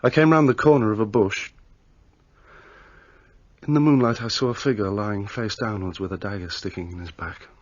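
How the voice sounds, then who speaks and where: A man's voice: on the low side, moderate pace, pauses often, no echo, dull audio, natural voice. An actor playing a troubled, guilt-ridden young man, earnest and remorseful in a radio play.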